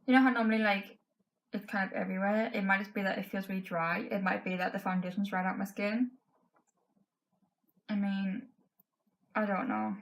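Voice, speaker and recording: A woman's voice: mid-range voice, a bit bright, low-quality recording. Young woman losing her voice. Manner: chatty, frank, many fillers like "um" and "like". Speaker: beauty vlogger. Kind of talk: casual makeup tutorial chat. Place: bedroom, indoors.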